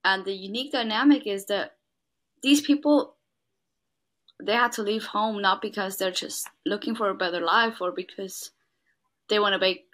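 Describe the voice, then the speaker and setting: A woman's voice: mid-range voice, moderate pace, long pauses, a bit bright. A young woman from Switzerland, talking earnestly as an interview guest and saying "like" often. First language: Swiss German. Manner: earnest, says "like" a lot. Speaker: guest, works at a refugee school. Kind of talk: casual interview talk.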